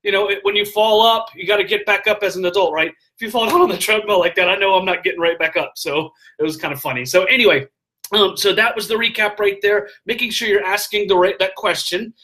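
A middle-aged man talking casually into a webcam from a hotel room, animated and full of fillers as he gives motivational business tips. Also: high-pitched, fast. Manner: animated, gestures a lot, filler-heavy with frequent "all right?". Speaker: vlogger giving business tips. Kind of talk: casual motivational live stream. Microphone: webcam at desk distance.

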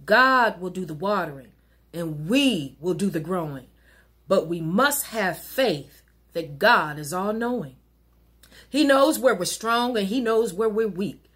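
Middle-aged woman, highish pitch, very lively intonation, earnest rhythmic spoken-word preaching, slightly husky. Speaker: minister and poet. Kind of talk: recited rhyming spoken-word sermon poem. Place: wood-paneled living room at home.

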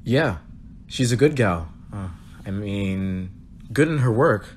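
A man's voice: on the low side, expressive, slow pace, slight background noise. A man playing a defensive, awkwardly rambling supervisor in an audio drama. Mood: defensive, flustered. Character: flustered, defensive male downstairs supervisor.

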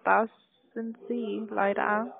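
A woman's voice: medium pitch, level tone, slow pace, gravelly. A woman with a foreign accent making a sales call over the telephone. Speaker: telemarketing caller. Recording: tinny narrow-band phone line.

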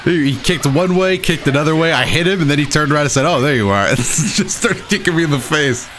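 A young adult man giving excitable, self-deprecating live commentary over his own gameplay as the host. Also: fairly high pitch, fairly quick, slight background noise. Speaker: gaming video host.

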